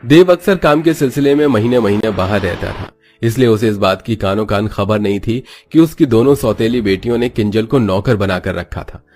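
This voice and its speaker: A man's voice: fairly low pitch, a little mellow. A man narrating a scripted moral story as a storyteller. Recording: music bed behind voice.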